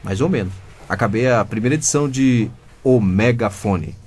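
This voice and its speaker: A man's voice: medium pitch, moderate pace, darkish tone, husky, lightly acted. An actor with a Brazilian accent playing a cheeky, rebellious fifteen-year-old boy in a comedic radio drama, sarcastic and slangy. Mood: smug.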